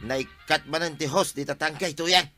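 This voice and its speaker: Forceful, plain-spoken villager. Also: male voice, fairly high pitch, fairly even intonation, normal speed, soft background music. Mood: irritated. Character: plain-spoken village man, helpful but quick to complain. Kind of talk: comic radio drama acting.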